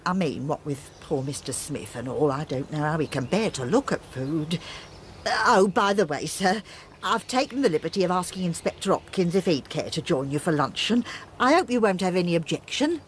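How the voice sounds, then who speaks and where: Chatty, lowish-voiced English housekeeper played by an actress. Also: female voice, animated, normal speed, a little hiss or hum, natural voice. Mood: gossipy, deferential. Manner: gossipy, servantly politeness. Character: chatty, deferential female housekeeper, plain-spoken and motherly. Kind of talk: British radio drama acting.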